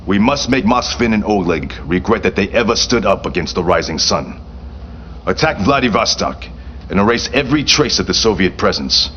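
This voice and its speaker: A man's voice: lowish voice, fairly bright tone, dull audio, slight background noise. A man acting a proud, vengeful Japanese prince in a game cutscene, speaking formally and solemnly with grandiose command.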